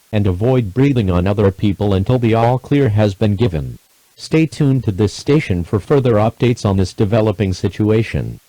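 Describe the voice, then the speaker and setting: A man reading a scripted emergency alert in a formal, measured manner, as a broadcast announcer. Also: lowish voice, dark tone, no room sound, rough recording.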